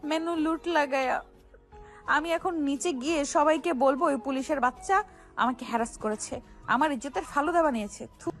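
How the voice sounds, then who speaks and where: A woman acts out a feisty young wife with a very high voice. She is dramatic, slangy and quick to scold, in the manner of an audio love story. Faint music plays behind her. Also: close to an everyday voice. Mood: indignant lament. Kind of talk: audio romance story acting.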